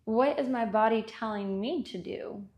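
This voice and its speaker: A woman with a fairly high, noticeably creaky voice leads a guided meditation. She speaks very slowly and gently, leaving long pauses between short instructions. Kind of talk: guided body scan meditation.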